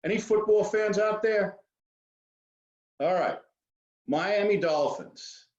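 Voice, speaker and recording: A man's voice: high voice, takes it slowly, darkish tone. A man with a New York accent giving an informal financial seminar talk as a guest speaker, with folksy, joking asides. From Queens, New York. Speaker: guest speaker, wealth management expert. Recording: dull lo-fi audio, roomy echo.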